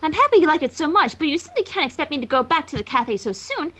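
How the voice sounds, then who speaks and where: A woman voicing a refined, fussy elder-sister pony who is prim and gently scolding. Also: high voice, very animated, fast, heavily acted. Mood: gently firm. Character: refined, motherly adult mare, a fussy big sister.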